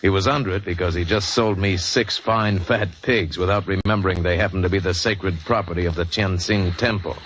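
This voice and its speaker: Low-voiced, restless sea captain. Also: male voice, fairly even intonation, fairly quick, hardly any pauses, fairly bright tone. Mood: weary brooding. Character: bored, restless American sea captain, brooding and romantic. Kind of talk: 1940s radio drama acting. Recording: noisy, muffled 1940s radio recording.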